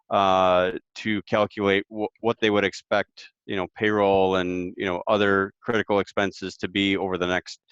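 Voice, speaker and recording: A man on an online call answering questions as an expert panelist, explaining carefully and a little haltingly without a script. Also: fairly low pitch, fairly bright tone, clear, resonant tone, no echo. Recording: slightly dull online call audio.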